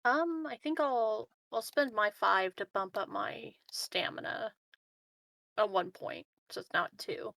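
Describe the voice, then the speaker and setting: A woman's voice: medium pitch, animated, a little slow, gravelly, a bit bright, no room sound. A woman chatting casually as a tabletop game player, reasoning aloud in a tentative way.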